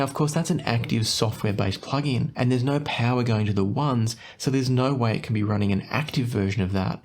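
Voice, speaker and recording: A man's voice: medium pitch, a little mellow. A man presenting an explanatory product review as the host of an audio channel.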